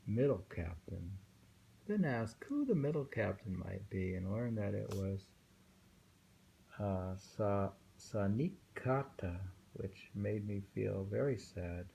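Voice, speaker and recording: A man reading poems aloud informally and commenting on them in a halting, musing way. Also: lowish voice, creaky, warm tone, slight background noise, rough recording. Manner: halting, musing, with pauses and self-questioning. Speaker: reader and host discussing poems.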